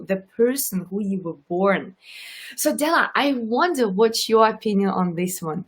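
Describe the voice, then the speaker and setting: Russian-accented woman host, earnest, emphatic, mid-pitched voice. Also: husky. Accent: subtle Russian. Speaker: talk show host and coach. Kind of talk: casual interview chat.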